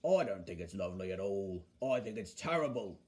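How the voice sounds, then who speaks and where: A man's voice: low voice, very animated, fairly quick, darkish tone, voice pushed down. A man voicing a grumpy, boastful double-decker bus in a children's story, scornful and gloating.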